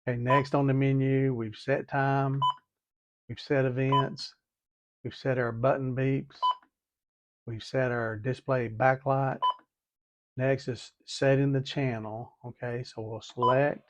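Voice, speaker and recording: A man's voice: medium pitch, flat delivery, takes it slowly, a little hiss or hum. A man giving a methodical, hands-on tutorial, talking through each step and repeating as he goes. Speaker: DIY product demonstrator.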